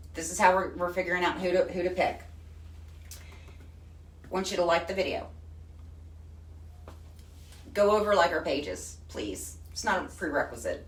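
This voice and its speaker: A woman from Texas with a Texan accent, hosting a casual live stream in a chatty, folksy way. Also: medium pitch. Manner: chatty and folksy, says honey and y'all. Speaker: host. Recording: echoey room, far from the mic.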